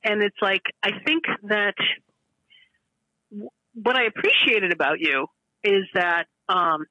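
A woman of fifty-five calling in to a show, speaking earnestly and candidly in halting, restarted sentences. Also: medium pitch, bright, forceful tone, dry recording, low-quality recording. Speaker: regular caller to a show. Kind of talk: candid emotional conversation.

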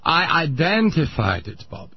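A man voicing a pompous old male Viennese psychoanalyst, lecturing in a smug, drawn-out way. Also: a little high, sing-song, breathy edge, highs cut off, heavily acted.

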